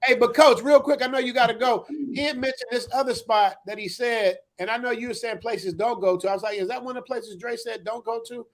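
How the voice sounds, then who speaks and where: A man's voice: very high voice, steady tone, brisk, few pauses. A man co-hosting a casual podcast, joking and teasing the guests. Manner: playful teasing banter.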